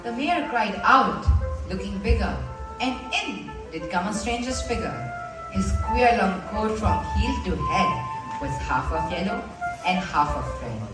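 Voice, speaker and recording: A theatrical storybook narrator , played by a female student, reciting verse with an animated, swinging pitch. She is heard at a distance in a reverberant hall over clear music. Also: measured pace, lightly acted. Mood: dramatic storytelling. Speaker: student narrator of school play. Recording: echoey school hall from far, music behind.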